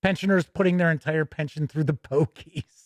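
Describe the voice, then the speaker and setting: A man hosting a tabletop gaming livestream, chatting casually with viewers in a folksy, jokey, animated way. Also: fairly high pitch, a little fast, no room sound.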